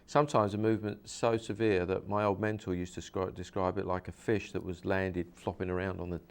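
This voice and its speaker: A man's voice: deep voice, level tone, fairly quick, smooth. A man, a hypnosis practitioner, interviewing a guest and explaining his method earnestly, with many repeats and restarts.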